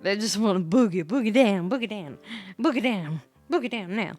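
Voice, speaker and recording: A woman's voice: medium pitch, lively intonation, normal speed, a little mellow. A woman casually commenting on a game she plays, giggly and playful, repeating her phrases. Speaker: streamer reading a story game aloud. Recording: background music. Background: music.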